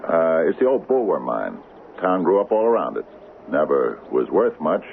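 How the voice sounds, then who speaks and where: Gravelly, deep-voiced folksy town mayor. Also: male voice, big pitch swings, moderate pace, heavily acted. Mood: folksy, rambling. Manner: hesitant, rambling, self-correcting. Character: folksy, rambling small-town mayor and barber. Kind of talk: radio drama acting. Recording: muffled, band-limited radio broadcast, faint noise.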